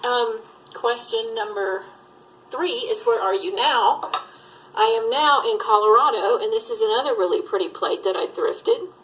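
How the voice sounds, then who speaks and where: A woman's voice: on the high side, measured pace, a bit bright, low-quality recording. A middle-aged woman, raised in Alabama, who runs a thrift resale channel, chatting casually and rambling with many fillers. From Southern US, Alabama. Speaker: thrift reseller vlogger. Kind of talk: casual tag video chat.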